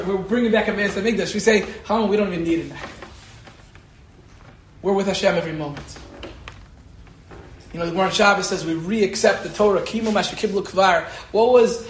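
A man, a rabbi, giving an animated Torah lecture and telling a personal story, repeating key phrases for emphasis. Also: high-pitched, roomy sound, slight background noise. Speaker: rabbi teaching a Torah class.

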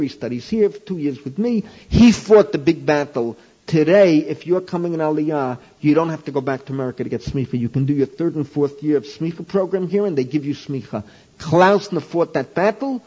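An older man, a rabbi and teacher, telling an anecdote to a class in an animated, informal lecture, raising his voice when he quotes himself, on a dull lo-fi archival tape. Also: fairly high pitch, slightly rough. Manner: animated anecdotal, voices his own past lines loudly.

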